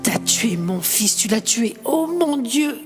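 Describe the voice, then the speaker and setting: A woman's voice: mid-range voice, normal speed, over music, natural voice. A woman voicing a distraught father who blames his wife for his son's death. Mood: accusing. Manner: accusing, wailing. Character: grieving father, accusing and furious.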